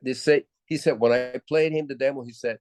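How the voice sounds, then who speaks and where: An older man from Puerto Rico with a low voice speaks in a relaxed interview. He circles back and restarts his sentences often as he recalls old stories. The sound is dry and close. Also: dry recording. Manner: halting, many restarts and fillers. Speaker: guest musician. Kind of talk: casual interview storytelling.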